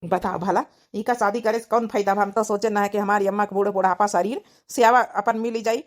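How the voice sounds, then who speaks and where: A woman's voice: mid-range voice, fairly even intonation, brisk, close to an everyday voice. A woman voicing a hot-headed, grumbling young village husband in an Awadhi comedy cartoon, indignant and complaining, with an Awadhi accent. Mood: dejected, grumbling. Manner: indignant, complaining rural speech.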